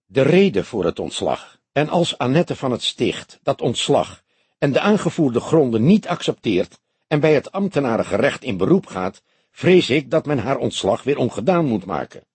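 A man reads as an older detective with a very husky, rough voice. He speaks in measured, formal phrases, calm and sceptical, in a dry, close audiobook sound. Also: mid-range voice, dry recording. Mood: sober, sceptical. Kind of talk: audiobook character dialogue.

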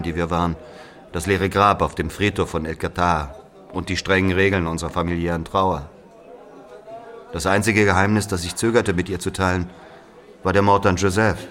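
A man's voice: low-pitched, a bit bright. A man playing a brooding Algerian narrator who recounts his life in a literary audio drama. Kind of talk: dramatic first-person narration.

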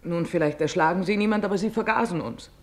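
Audiobook role: anguished woman camp survivor read by a man, earnest and vivid, slightly high for a male register, gravelly creak. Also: fast. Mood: fearful defiance. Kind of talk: audiobook testimony narration.

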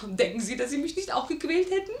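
Young noblewoman, high pitch, playful, theatrical, 1950s radio play, roomy studio. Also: big pitch swings, quick pace. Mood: teasing. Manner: theatrical, teasing diction.

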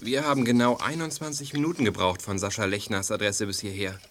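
An actor playing a self-assured teenage detective in a radio play, reasoning things out assertively. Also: male voice, lowish voice, fairly even intonation, a little fast, background noise, lightly acted. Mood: matter-of-fact. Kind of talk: German youth radio play acting.

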